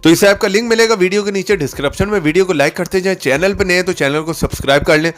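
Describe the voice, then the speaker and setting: Male tutorial host, fairly high pitch, instructing step by step in a casual way, light music bed. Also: rapid-fire. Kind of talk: casual screen-recorded app tutorial voice-over. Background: background music.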